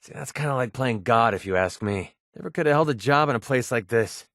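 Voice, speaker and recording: A man's voice: mid-range voice, lively intonation, fairly quick, a bit bright, no echo, studio quality. A man playing a cynical, wisecracking young mercenary sniper in a video game, speaking in an offhand, grumbling, sardonic way. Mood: wry, wary. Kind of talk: video game companion dialogue.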